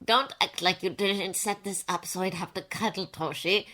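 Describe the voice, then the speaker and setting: A woman's voice: medium pitch, normal speed, sharp tone, lightly acted. A woman voicing a wry, thoughtful male mentor who murmurs and teases in a story. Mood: wry teasing.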